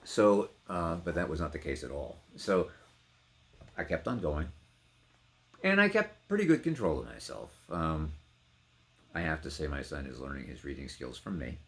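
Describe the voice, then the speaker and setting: Deep-voiced middle-aged man, very animated delivery. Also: moderate pace, pauses often. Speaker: poetry reader and trained actor. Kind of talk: casual personal introduction to a reading.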